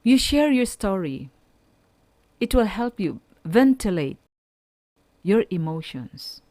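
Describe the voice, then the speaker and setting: A woman with a Filipino accent giving an instructional radio talk with tips and examples, deliberate and emphatic, repeating key phrases. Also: mid-range voice, sing-song, a little mellow, no room sound. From Philippines. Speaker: speaker giving a mental health lesson on a school radio programme.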